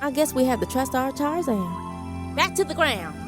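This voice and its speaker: A feisty heroine of a pulp adventure drama. She is decisive and wry, with a fairly high voice, animated intonation and music underneath. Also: a little slow. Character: feisty, dry-witted adventurous film-star heroine, adult woman. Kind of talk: pulp adventure audio drama acting with narration. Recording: music behind the voice.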